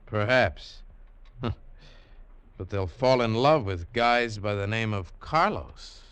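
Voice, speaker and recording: A man's voice: fairly low pitch, expressive, slow, a bit bright, slight background noise. A man playing a world-weary American adventurer who tells his own hard-boiled tale in a 1940s radio drama. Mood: wry, resigned. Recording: old 1940s radio broadcast, music underneath.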